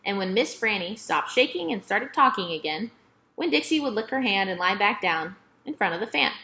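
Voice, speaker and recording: A woman narrating a children's novel aloud in an expressive, emphatic storytelling manner. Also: medium pitch, normal speed, low-quality recording.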